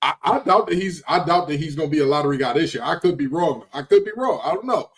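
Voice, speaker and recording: Animated man in sports talk. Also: on the high side, fast talker. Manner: animated and emphatic, repeats phrases. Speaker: podcast panelist.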